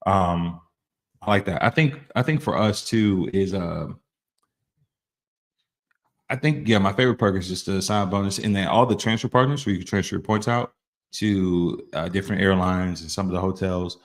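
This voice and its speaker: A man's voice: sits low, moderate pace. A man hosting a casual reaction chat, laid-back and rambling, with lots of fillers. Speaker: host.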